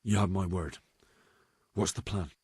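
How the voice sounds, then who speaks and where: A man's voice: sits low, steady tone, measured pace, darkish tone, breathy edge, heavily acted. An actor playing an earnest young man in a video game cutscene, a captive turned messenger. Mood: wary, dry.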